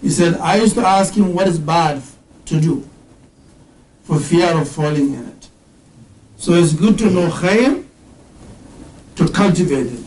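A middle-aged male religious teacher with a fairly high voice, asking his students questions and unpacking each term with patience, now and then reciting Arabic verses. He is heard in a roomy classroom and sounds a little distant from the mic. A faint hum sits behind him. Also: lively intonation, a little mellow. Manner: questions the audience, explains terms slowly, recites Arabic verses. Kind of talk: interactive religious lesson. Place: indoor classroom with whiteboard.

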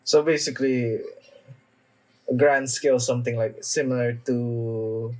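A young man giving casual, off-the-cuff reaction commentary in a halting way, trailing off mid-thought. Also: medium pitch, level tone, darkish tone, poor audio. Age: young adult. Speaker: anime reaction vlogger. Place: small home room, indoors.

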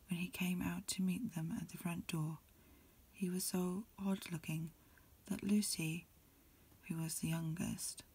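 A woman reading a storybook in a breathy ASMR whisper, softly and unhurriedly, phrase by phrase. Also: fairly low pitch. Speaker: amateur reader. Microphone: lo-fi home recording.

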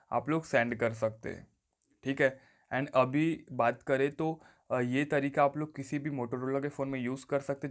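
Casting: male tutorial presenter, medium-pitched, casual explainer style with recurring stock phrases. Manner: instructional, often repeats stock phrases. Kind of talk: casual step-by-step phone tutorial. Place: voice-over on a phone screen recording.

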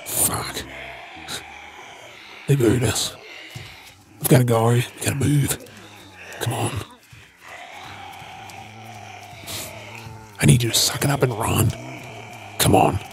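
An Australian man playing a gruff but caring zombie apocalypse survivor in a breathy, often whispered ASMR role-play. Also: mid-range voice, very animated, unhurried, long pauses, a little hiss or hum. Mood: urgent.

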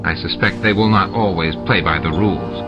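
A man voicing a stern, dry shapeshifting male security chief who talks in measured, sarcastic sentences. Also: deep voice, lightly acted. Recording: dull lo-fi with music behind.

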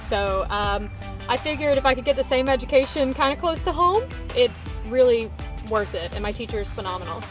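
A woman's voice: fairly high pitch, animated, a little fast, over music. A woman in her twenties from Mississippi, with a Mississippi accent, talking sweetly and giggly as a guest in a car. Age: 20s. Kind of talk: casual chat. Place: inside a car. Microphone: boom mic overhead.

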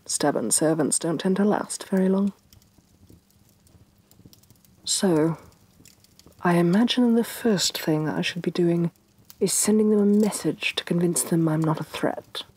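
Evil fantasy queen played by a woman for ASMR roleplay: low-pitched, breathy and soft, haughty and menacing. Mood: smugly commanding. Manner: imperious, deliberate, disdainful, sighing with exasperation.

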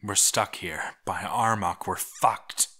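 Give the story a doomed male dwarf played by a man, bitter and sardonic, slowly losing his mind. He delivers his journal dramatically in a forceful, bright voice, cursing as he goes. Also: low-pitched, slightly rough, exaggerated voice. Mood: grim, bitter. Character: doomed male dwarf expedition diarist, bitter, cracking into madness.

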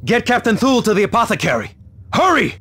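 An actor voicing an impetuous, agitated space marine sergeant in a video game, with a husky voice. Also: male voice, extremely high pitch, wildly swooping pitch, quick pace, a bit bright, full character voice. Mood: frantic. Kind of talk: video game cutscene acting.

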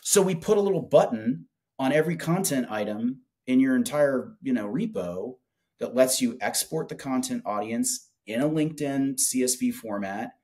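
A man in his forties making a casual, enthusiastic product pitch in conversation, speaking colloquially on a video call. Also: expressive, normal speed, darkish tone. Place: small office with whiteboard, indoors.

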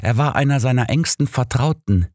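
A man voicing a devout, candid and measured male pastor in an audiobook. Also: mid-range voice, animated, a little mellow, no echo, studio quality, voice pushed up, close to an everyday voice.

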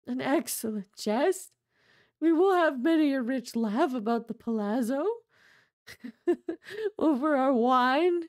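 A woman voicing a chained nobleman who laughs hysterically and begs, her voice raised high. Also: lively intonation, takes it slowly, long pauses, darkish tone, dry recording, clean studio sound. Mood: laughing hysterically.

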